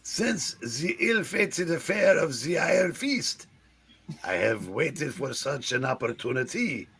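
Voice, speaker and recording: A scheming French comic-book thief with a gravelly, husky edge. He is pompous and gleeful, drawing out his mock French accent and leaping between menace and grand exclamation. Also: on the high side, animated, slow, fairly bright tone, exaggerated voice. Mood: grandiose scheming. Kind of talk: hammy comic-book villain read aloud.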